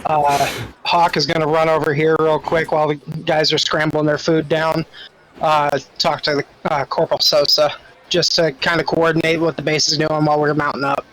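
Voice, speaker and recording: A man with a somewhat high voice plays in a tabletop role-playing game. He casually narrates what his character does, with faint noise in the background. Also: level tone, normal speed, fairly bright tone, rough recording. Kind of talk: casual role-play chat.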